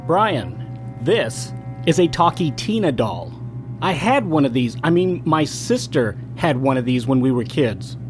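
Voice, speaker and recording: An actor playing a naive, excitable everyday guy in an audio drama, talking naturally to his friends. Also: medium pitch, unhurried, soft background music. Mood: cheerfully oblivious.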